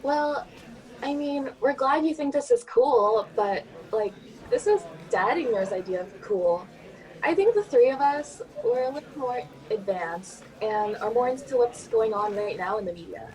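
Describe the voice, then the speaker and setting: A woman's voice: fairly high pitch, lively intonation, measured pace, a little mellow, rough recording. A young woman playing a trendy college sorority member in an amateur online drama, talking in a Valley Girl style. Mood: breezy. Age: young adult.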